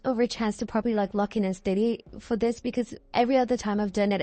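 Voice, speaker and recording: A woman's voice: medium pitch, darkish tone, dry recording. Chatty young British woman, dry close sound. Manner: chatty, digressive, self-correcting. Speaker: video creator and host. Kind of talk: casual rambling chat over gameplay.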